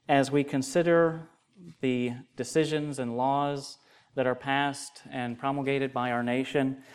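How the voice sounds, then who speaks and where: A young adult man, an associate pastor delivering a sermon and prayer in an earnest, measured way that grows more emphatic. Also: medium pitch, darkish tone, clean tone.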